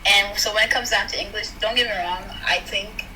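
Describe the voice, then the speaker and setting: Brief: young woman from South Sudan, bright and forceful voice, chatty debate style, faint hiss behind. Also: medium pitch, fairly quick, low-quality recording. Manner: animated, talks through points with many "like" and "right?". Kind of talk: casual chat, debate.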